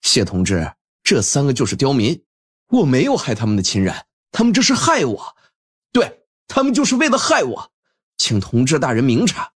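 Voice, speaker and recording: A man voicing an arrogant, vicious young official's son in an audiobook, blustering and indignant with a rough edge. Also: mid-range voice, wildly swooping pitch, normal speed. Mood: defensive, pleading. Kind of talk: audiobook character acting.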